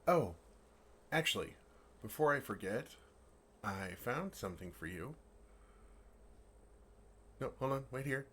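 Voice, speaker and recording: A man's voice: mid-range voice, big pitch swings, unhurried, pauses often, breathy edge, heavily acted. A man playing a friendly, naive male dragon who talks earnestly and playfully to a human in an ASMR roleplay. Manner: earnest, playful, puzzled, soft chuckles. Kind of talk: ASMR roleplay, intimate one-sided dialogue.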